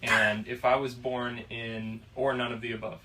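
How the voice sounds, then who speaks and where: A man in his twenties from Florida, musing slowly on faith and choice in a casual discussion. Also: mid-range voice, little pitch movement. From Florida, USA. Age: 20s. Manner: slow, reflective, pauses mid-thought. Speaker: podcast guest. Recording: distant mic, faint room noise.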